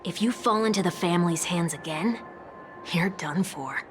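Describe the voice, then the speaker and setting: A sharp, skeptical young woman, voiced by an actress for a game, blunt and teasing in a slightly low voice. Game music plays clearly behind her. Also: normal speed, close to an everyday voice.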